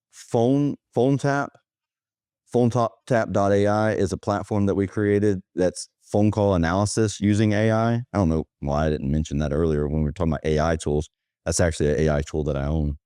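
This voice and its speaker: A man's voice: low-pitched, warm tone, clean tone, no room sound, studio quality. A man talking casually as a podcast guest, in a plainspoken, anecdotal way. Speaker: podcast guest, automation agency owner. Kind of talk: casual conversational interview talk.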